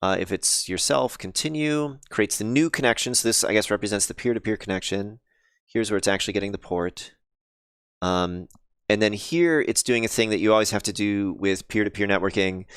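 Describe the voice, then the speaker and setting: A man thinking aloud on a casual live coding stream, pausing with ums and chatting with viewers, his voice slightly scratchy and sniffly. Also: lowish voice, fairly bright tone, smooth, dry recording, clean studio sound. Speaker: game developer streaming live.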